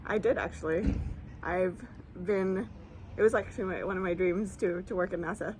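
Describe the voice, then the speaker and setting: A woman's voice: on the low side, normal speed, darkish tone, slight background noise, poor audio. A woman in her late twenties who grew up in Dubai, chatting as an interview guest, with many filler "likes" and ums and easy laughter. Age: 20s. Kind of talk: casual conversation. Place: lives in San Francisco.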